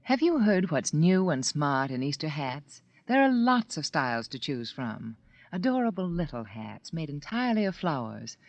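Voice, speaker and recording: A woman's voice: on the low side, darkish tone, no room sound. A woman reading a 1940s radio commercial in a cooing, persuasive way. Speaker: commercial announcer. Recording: dry, dull old radio broadcast.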